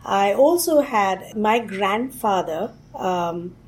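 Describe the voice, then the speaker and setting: A woman from India, a technology executive answering interview questions thoughtfully and often pausing on "uh". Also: medium pitch, expressive, husky. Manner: thoughtful, with frequent "uh" fillers.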